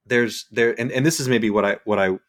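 A man's voice: medium pitch, fairly quick. A man in his forties hosting a casual talk, thinking aloud and often restarting his words. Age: 40s. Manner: hesitant, repeats words when searching for thoughts. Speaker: podcast host. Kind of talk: reflective casual conversation.

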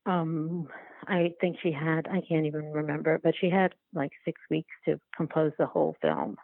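A woman being interviewed about her work, speaking reflectively and haltingly as she searches for words. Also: sits low, lively intonation, phone-quality sound. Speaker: documentary filmmaker, interview guest. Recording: roomy, band-limited remote-call sound.

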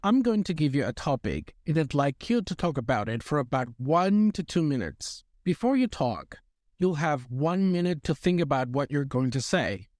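A man with a slightly raised pitch conducts a spoken exam. He delivers scripted questions and instructions in a formal, orderly way, recorded dry. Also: very animated, moderate pace, darkish tone, no echo. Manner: formal, clearly structured instructions. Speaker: oral English exam examiner.

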